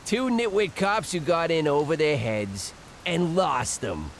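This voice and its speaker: An actor playing a swaggering, taunting crime boss in a video game, theatrical and vicious. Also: male voice, on the high side, big pitch swings, unhurried, slight background noise. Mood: mocking. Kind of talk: video game villain acting.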